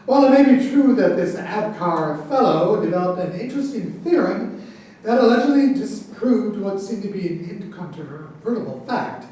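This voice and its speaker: Guy playing snarky high-voiced debunker arguing in a play reading, distant and really echoey. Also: a little mellow. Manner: dismissive, argumentative, sardonic. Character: scornful, argumentative debunker in a mock academic dispute. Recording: very echoey room, far from mic.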